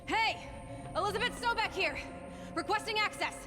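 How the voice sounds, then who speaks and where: An actress voicing a determined young huntress in a video game, blunt, defiant and sardonic. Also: female voice, extremely high pitch, moderate pace. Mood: urgent, insistent. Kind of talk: dramatic video game voice acting. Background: game music.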